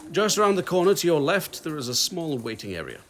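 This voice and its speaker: An actor playing a courteous, helpful hospital receptionist in an audio drama. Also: male voice, a little high, big pitch swings, a little fast, a little hiss or hum.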